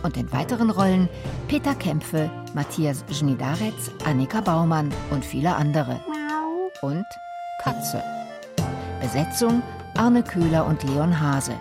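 A woman's voice: sits low, lively intonation, a little slow, over music. A woman reading scripted radio play credits as an announcer.

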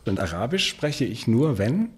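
A male radio host reading a scripted introduction, then interviewing a guest in measured tones. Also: medium pitch, sing-song, breathy edge. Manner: measured, sentence prompts.

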